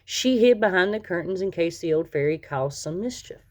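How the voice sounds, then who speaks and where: A woman reading a children's story aloud as narrator, in an expressive storytelling manner that leans on key words. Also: on the low side, normal speed. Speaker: storybook reader.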